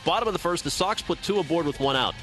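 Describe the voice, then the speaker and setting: A man's voice: fairly high pitch, lively intonation, quick pace, a bit bright, soft background music. A man hosting a baseball postgame radio show, recapping the game in a conversational broadcaster's delivery. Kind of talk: sports postgame recap and commentary. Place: ballpark broadcast booth.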